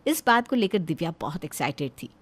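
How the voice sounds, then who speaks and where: A woman's voice: lowish voice, sing-song, brisk. A woman reading scripted narration as the storyteller of a cartoon family tale.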